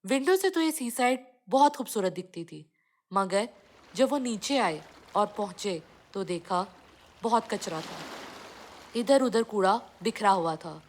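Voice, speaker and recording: A woman's voice: mid-range voice, lively intonation, a little slow, darkish tone. A woman reading a scripted children's cartoon story as the narrator.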